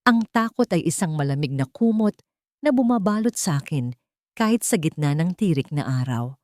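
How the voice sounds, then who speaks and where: A woman narrating a dramatic first-person story in a solemn, deliberate storytelling manner. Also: lowish voice, lively intonation, darkish tone.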